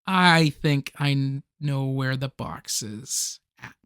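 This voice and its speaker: A man performs an earnest, fussy collector in a comedic radio play. His voice is bright and forward, recorded close and dry, and he pushes his points dramatically with small stammers. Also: medium pitch, takes it slowly, clean tone, no room sound. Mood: hesitant. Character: earnest, fussy man obsessed with old holiday tapes.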